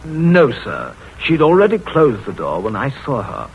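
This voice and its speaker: A jumpy polite butler, stammers, voice jumps around, faint hiss behind. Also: male voice, mid-range voice, wildly swooping pitch, a little slow, vocal fry, dull audio, full character voice. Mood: nervous. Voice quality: hesitant, deferential. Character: nervous deferential male butler. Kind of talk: 1940s radio acting.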